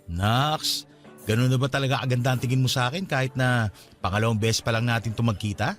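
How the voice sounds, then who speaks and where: An actor playing an earnest, eager suitor on a date in a radio drama, speaking conversationally with a Filipino accent. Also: male voice, mid-range voice, steady tone, fast, soft background music, close to an everyday voice. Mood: flattered, playful. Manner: courting, conversational.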